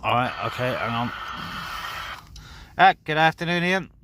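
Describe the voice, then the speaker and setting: A man's voice: medium pitch, animated, unhurried. A man hosting a casual, informal vlog, chatting to his viewers as he operates a CB radio. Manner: informal, addresses viewers as guys. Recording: clear background noise, radio and vehicle sounds.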